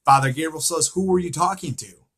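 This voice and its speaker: A man delivers informal fan commentary in a forceful, slightly high voice with a rough husky edge. He is emphatic and repetitive, leaning on rhetorical questions as he works through his recap. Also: brisk.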